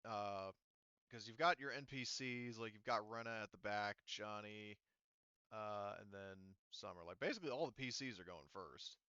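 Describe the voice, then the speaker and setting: A man running a tabletop game casually as game master, halting, often muttering to himself and swearing lightly. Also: lowish voice, pushed and bright, clean tone, no room sound, dull audio.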